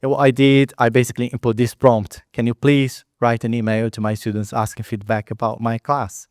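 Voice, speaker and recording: A middle-aged man with a Brazilian Portuguese accent presents at a product meetup, talking loosely with frequent fillers and self-corrections. His voice is smooth and resonant at an ordinary pitch. It is picked up close and dry, with little room sound. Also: flat delivery, brisk, darkish tone, no room sound. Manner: conversational, many fillers and restarts, non-native phrasing. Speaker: product lead and Agile trainer giving a talk. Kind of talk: conference presentation. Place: event hall at a meetup.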